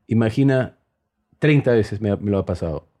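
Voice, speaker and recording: A man in his forties, an English native speaker with a mixed Peruvian, Spanish and Mexican-American Spanish accent, hosting a podcast with joking, animated storytelling. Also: fairly low pitch, normal speed. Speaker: podcast host. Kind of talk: casual chat.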